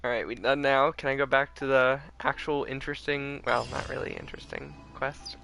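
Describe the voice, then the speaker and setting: Bright-voiced man chatting on a game stream. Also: mid-range voice, steady tone, normal speed, vocal fry. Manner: giggly, playful, rambling. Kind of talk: casual livestream chat. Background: faint game music.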